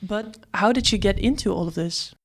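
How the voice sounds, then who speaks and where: A woman's voice: medium pitch, normal speed. A woman in her twenties presenting a student podcast from a script. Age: 20s.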